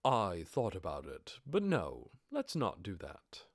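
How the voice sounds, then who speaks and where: A man performs a composed lord and master in an audiobook. His voice sits low yet moves with wide, stately swings, dry and close. He speaks formally and with measured authority, as one used to giving orders. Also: wildly swooping pitch, no room sound, exaggerated voice. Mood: measured, deliberate. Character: composed lordly master keeping up a commanding act. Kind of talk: audiobook character voice.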